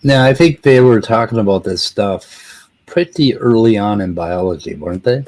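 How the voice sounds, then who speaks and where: A man's voice: fairly low pitch, lively intonation, moderate pace, darkish tone. A man talking casually in a panel discussion as its host, with a sardonic manner.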